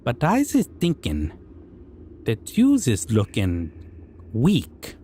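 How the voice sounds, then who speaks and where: A man's voice: mid-range voice, huge pitch swings, slow, dark tone, slight background noise. A man voicing a civilized goblin king in an audio roleplay, sly and menacing yet amused, musing in broken grammar with hums. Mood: stern, musing. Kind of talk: character audio roleplay addressed to listener.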